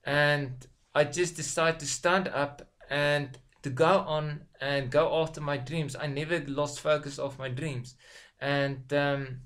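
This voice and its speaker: A man in his forties with an Afrikaans accent, speaking English as a second language in a casual motivational video, halting with many ums and restarts, filmed by a webcam in a small room at home. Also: a little high, steady tone, a bit bright. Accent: South African Afrikaans. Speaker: online business vlogger. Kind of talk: casual motivational talk to camera. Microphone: webcam at desk distance.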